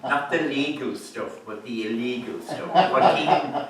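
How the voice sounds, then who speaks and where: A man's voice: medium pitch, unhurried, noisy background. Irish man chatting from the stage. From Ireland. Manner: chatty, anecdotal, laughing asides. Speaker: folk musician. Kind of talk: casual stage banter. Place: community hall. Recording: echoey live hall, mic far off.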